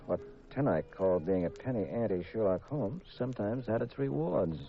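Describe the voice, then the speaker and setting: An actor playing a wry, smooth male private detective in a 1950s radio drama, casual and confiding. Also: on the low side, lively intonation, normal speed, faint music behind. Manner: casual, hesitant, wryly confiding. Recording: dull vintage radio recording.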